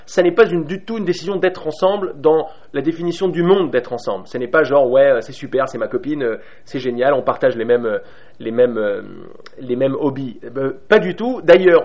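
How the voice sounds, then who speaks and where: A man's voice: on the high side, a little mellow. A man from Paris with a Parisian accent giving an informal spiritual talk to a group, hesitant, with many restarts, fillers and light laughs. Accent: Parisian French. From Paris, France. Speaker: spiritual speaker.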